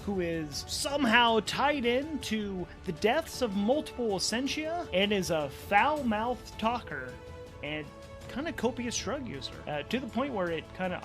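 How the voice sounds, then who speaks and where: A man's voice: high voice, sing-song, normal speed, music in the background. A man narrating and commenting as host and player of a solo tabletop role-playing game, conversational and enthusiastic.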